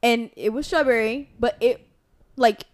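A woman's voice: fairly high pitch, a little slow. A young woman co-hosting a casual podcast chat, rambling with lots of filler words. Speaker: podcast co-host.

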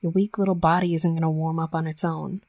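A woman's voice: low-pitched, little pitch movement, fast, a little mellow, dry recording. A woman voicing a tough young female werewolf bully in an intimate roleplay audio drama, brash and sweary but grudgingly tender. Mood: exasperated, bossy.

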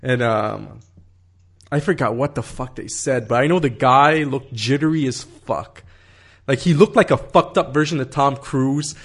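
A man's voice: mid-range voice, a little slow, husky. A man in his thirties telling a long story in casual conversation, rambling with many fillers, swearing and laughing.

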